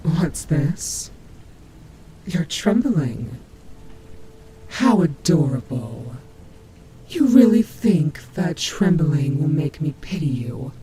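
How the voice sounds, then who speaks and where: Nightmare animatronic rabbit, played by a woman: taunting, theatrical, very drawn-out delivery, audio roleplay, clearly noisy recording with rumble. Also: very animated, full character voice. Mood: mocking, gloating. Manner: slow, mocking, theatrical drawl with whispered asides. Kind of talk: scripted audio roleplay acting.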